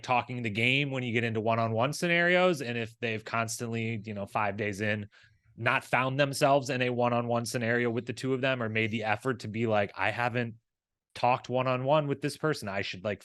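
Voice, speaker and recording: A man's voice: mid-range voice, fairly quick, a bit bright, dry recording, clean studio sound. A man co-hosting a recap show with casual, conversational commentary, rambling along and often acting out imagined dialogue.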